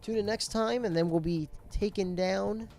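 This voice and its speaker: A young man casually commentating his gameplay, explaining objectives as he goes, his voice cracking and squeaking now and then. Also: moderate pace, vocal fry, a little mellow, soft background music. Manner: chatty, explains objectives as he plays. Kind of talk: casual let's play commentary.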